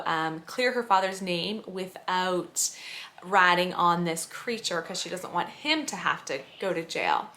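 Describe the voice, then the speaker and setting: A woman's voice: medium pitch, sharp tone. A woman in her thirties chatting casually as a family vlogger and mother. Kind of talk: casual vlog chat. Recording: clear background noise.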